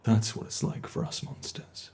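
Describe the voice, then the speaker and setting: A British man voicing an ancient, bored male monster in a horror ASMR roleplay, speaking slowly and intimately with soft whispers. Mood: brooding. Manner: slow, intimate, menacing delivery with soft whispers.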